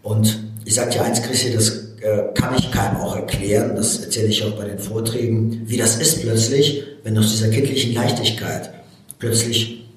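A man's voice: fairly low pitch, steady tone, moderate pace. A man in his forties from Baku, a native Russian speaker, earnestly telling his personal story as a motivational coach in an interview, with frequent hesitations. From Baku, Azerbaijan. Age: 40s. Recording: very echoey room, distant from mic.

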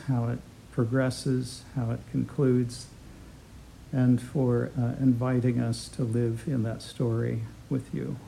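Gravelly, mellow-voiced male Bible teacher. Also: mid-range voice, slight background noise, poor audio. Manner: warm, conversational, chuckles often, with fillers. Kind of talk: class lecture with opening prayer.

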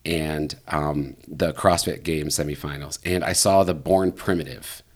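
Low-voiced male podcast host. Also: steady tone, unhurried. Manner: rambling storyteller, lots of fillers and "bro". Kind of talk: casual conversation.

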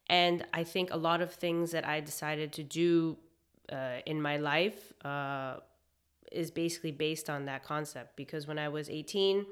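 Low-voiced young woman, reflective guest. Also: a bit bright. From Hong Kong. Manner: reflective, hesitant with many fillers. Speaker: guest, chef. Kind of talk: casual interview.

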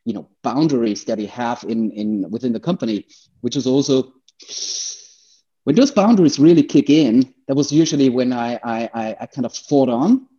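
A man from Austria with an Austrian accent and native German, telling his story as an interview guest in a halting, conversational way, often repeating words. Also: mid-range voice, dark tone, husky, muffled recording.

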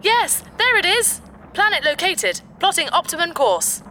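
A woman's voice: very high voice, big pitch swings, a little slow, sharp tone, slight background noise, heavily acted. A woman playing a childlike, playful and mischievous spaceship computer in a sci-fi audio drama. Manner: speaks of itself in the third person.

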